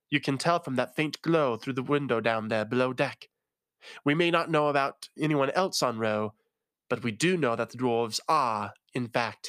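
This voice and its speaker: A man's voice: mid-range voice, fairly bright tone, dry recording, clean studio sound, close to an everyday voice. A man voicing a determined woman who is a ship captain and senator, speaking earnestly and reassuringly.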